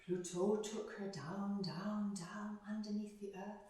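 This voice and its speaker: A woman telling a story for children in a deliberate, expressive way, with dramatic pauses and repetition. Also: medium pitch. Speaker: storyteller, teacher. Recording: echoey room, far from mic.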